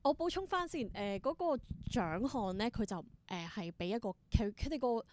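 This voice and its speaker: A woman's voice: on the high side, very animated, normal speed, a little mellow. A woman with a Hong Kong Cantonese accent answering as a theatre performer in an interview, explaining things conversationally and dropping in English terms.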